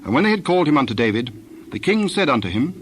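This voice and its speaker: A man narrating scripture from a script in a measured, formal way. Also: mid-range voice, big pitch swings. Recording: clear background noise with birdsong.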